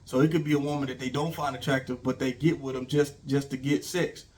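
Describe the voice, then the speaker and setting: A middle-aged man who is feeling sick and slightly raspy, hosting his own channel and talking through relationship advice in a rambling, informal way with many fillers. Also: mid-range voice, steady tone, a little fast, darkish tone. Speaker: YouTube host. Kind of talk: casual relationship advice talk.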